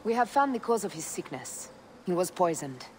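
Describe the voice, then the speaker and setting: An actress playing a fierce, resolute warrior woman in a video game, speaking in a measured, determined way. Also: fairly low pitch, sing-song, slight background noise. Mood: firm, respectful. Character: fierce, resolute warrior wife pledged to vengeance. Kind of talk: dramatic video game acting.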